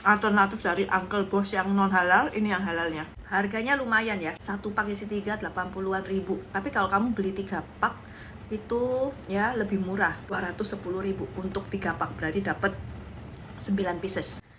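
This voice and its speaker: A woman's voice: medium pitch, fairly even intonation, a little fast, a bit bright. Casual female food reviewer, middle register, murmurs while chewing, slight noise behind. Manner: murmurs and hums between bites. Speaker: food reviewer in short social videos. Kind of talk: casual mukbang review chat while eating.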